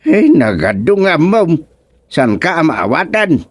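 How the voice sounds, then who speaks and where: A man's voice: fairly high pitch, sing-song, a little slow, breathy edge, no echo, exaggerated voice. A male actor playing a jittery, puzzled village sidekick in an Ilocano radio comedy drama, exclaiming and questioning theatrically with an Ilocano Filipino accent. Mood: bewildered.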